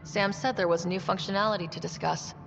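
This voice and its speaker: A level-headed young female explorer, speaks in a lowish voice with noticeable fry. She is composed and plain-spoken, in reverberant sci-fi game sound. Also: fairly even intonation, fairly quick. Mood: guarded, modest. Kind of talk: video game voice acting. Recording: dull game audio, long reverb, faint noise.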